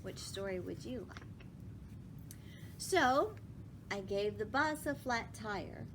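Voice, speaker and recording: A woman reading a children's story aloud with emphatic phrasing, pausing to put questions to listeners. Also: expressive. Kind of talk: expressive children's read-aloud with asides to listeners. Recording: clearly noisy with low rumble.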